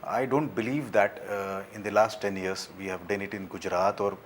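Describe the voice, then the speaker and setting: A man's voice: on the low side, fairly even intonation, normal speed, slight background noise. A man speaking earnestly as a party spokesman on a live TV panel, with frequent hesitations.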